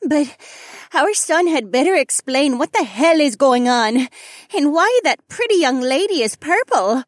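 A woman playing an emotional, flustered middle-aged mother in an audiobook, halting as she talks. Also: high voice, animated, no room sound, studio quality. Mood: bewildered, flustered. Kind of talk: audiobook character acting.

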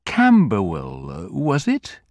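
A man's voice: medium pitch, wildly swooping pitch, takes it slowly, a little mellow, dry recording, pitched above the performer's own voice, exaggerated voice. A man voicing an anxious, kindly old male corner grocer who fusses with old-fashioned politeness. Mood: fretful.

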